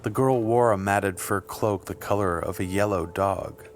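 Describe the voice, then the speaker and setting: A man reading scripted literary fiction as an audiobook narrator, with measured, deliberate phrasing. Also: on the low side, slight background noise. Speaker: audiobook reader. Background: music.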